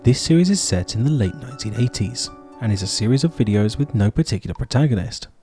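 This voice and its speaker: A man's voice: lowish voice, warm tone. A man hosting and narrating a scripted video essay.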